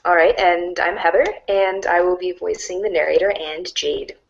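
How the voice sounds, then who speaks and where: A woman reading narration aloud in an animated storybook style for a reader's theater. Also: fairly low pitch, moderate pace, vocal fry, highs cut off, a little hiss or hum.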